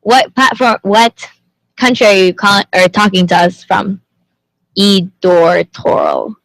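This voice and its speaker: A woman's voice: medium pitch, big pitch swings, unhurried, vocal fry, fairly bright tone, no echo, low-quality recording. A young woman who grew up in Indonesia, hosting a casual livestream and chatting with viewers, greeting them and asking lots of questions. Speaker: livestream host.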